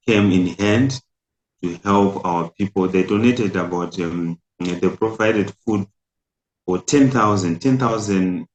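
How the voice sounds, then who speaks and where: A middle-aged man from Zimbabwe, an imam and community leader, answering interview questions with frequent hesitant pauses. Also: low-pitched, slight background noise. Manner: hesitant, many filler pauses. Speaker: imam and national community president. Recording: roomy echo.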